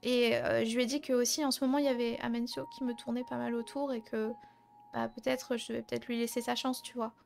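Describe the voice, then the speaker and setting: A woman's voice: on the high side, little pitch movement, quick pace, creaky, darkish tone. A young woman playing an anxious young woman confiding her love troubles in casual, hesitant improvised roleplay dialogue. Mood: worried, confiding.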